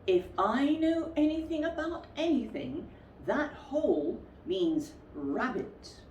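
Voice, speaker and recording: A woman's voice: sits high, very animated, slow pace, darkish tone, pitched above the performer's own voice, heavily acted. A woman voicing a friendly, naive storybook bear who loves food and humming, with an earnest, sing-song manner. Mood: hopeful, calling out. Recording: roomy, distant mic with faint noise.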